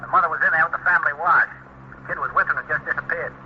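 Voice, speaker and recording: An American man playing a hurried, deferential police switchboard sergeant in a 1950s radio drama. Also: a little high, fairly even intonation, close to an everyday voice. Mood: brisk reporting. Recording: dull, hissy old radio recording.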